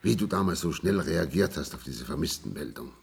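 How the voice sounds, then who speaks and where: An actor playing an aging companion in a radio play, gently insistent as he coaxes and reminisces. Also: a bass voice, animated, brisk, vocal fry, slightly rough, full character voice. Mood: coaxing. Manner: coaxing, reminiscing. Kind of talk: German radio play acting.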